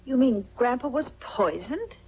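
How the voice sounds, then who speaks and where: A woman's voice: a little high, lively intonation, measured pace, a little mellow. An actress playing a proud, wrongly accused young woman in a 1940s film noir, earnest and indignant. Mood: shocked indignation. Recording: dull, faintly noisy 1940s film soundtrack.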